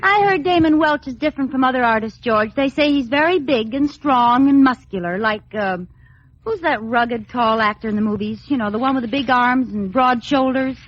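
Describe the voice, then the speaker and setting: A woman's voice: fairly high pitch, normal speed, lightly acted. A woman playing a playful, status-minded housewife in a 1940s radio comedy, with bantering, theatrical timing. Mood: teasing, bright. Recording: muffled old radio recording, loud noise, clicks.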